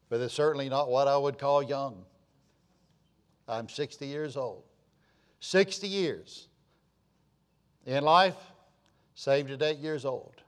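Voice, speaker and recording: Slow-preaching pastor in his sixties. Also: mid-range voice. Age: 60s. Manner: slow, repetitive preaching with rising emphatic swells. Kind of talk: sermon.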